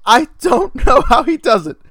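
A man's voice: extremely high pitch, very animated, moderate pace, fairly bright tone, no room sound, studio quality. A man co-hosting a sports podcast in casual chat, ranting with exasperation and laughing between points. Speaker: podcast co-host.

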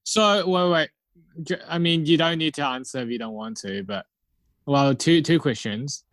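A man's voice: on the high side, moderate pace, a bit bright, no echo. A young man chatting on a podcast, curious and full of questions. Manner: curious, asks lots of questions. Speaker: podcast co-host. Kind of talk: casual chat.

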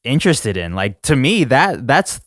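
A man hosting a casual interview, relaxed and full of fillers and agreeing noises. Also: medium pitch, moderate pace, dry recording, studio quality. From United States. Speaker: podcast host.

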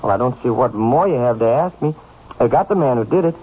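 A muffled old radio recording of a petulant young American suspect. His husky voice swings up and down a great deal, whining, nervous and defensive. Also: mid-range voice, animated, fast talker. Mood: evasive. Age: young adult. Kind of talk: 1950s radio drama acting.

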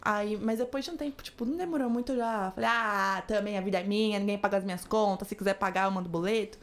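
A woman from Brazil, a podcast guest chatting casually and telling stories with quick asides and quoted voices. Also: medium pitch. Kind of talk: casual chat.

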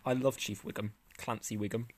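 A woman co-hosting a podcast in casual chat, playful and quick to laugh, in a small recording booth. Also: very deep voice, steady tone, no room sound. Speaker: podcast co-host. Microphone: studio microphone.

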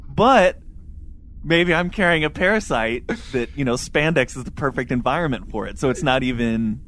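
A man's voice: mid-range voice, expressive, normal speed, a bit bright, slight background noise. A man co-hosting a podcast, talking casually about a book in a candid, self-deprecating, rambling way. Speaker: podcast co-host. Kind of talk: casual book discussion chat.

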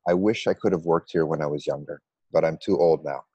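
A middle-aged man with an American accent, telling his personal story conversationally with a halting, pause-filled delivery. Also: rumbling low, mellow, husky, dry recording, lo-fi sound. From United States. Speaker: interview guest, meditation coach.

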